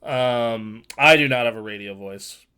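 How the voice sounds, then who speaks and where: Nasal, bassy man chatting about games. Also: unhurried, sharp tone, clear, resonant tone. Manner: loose, joking, swears freely. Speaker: podcast co-host. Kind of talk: casual gaming chat. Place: home streaming setup.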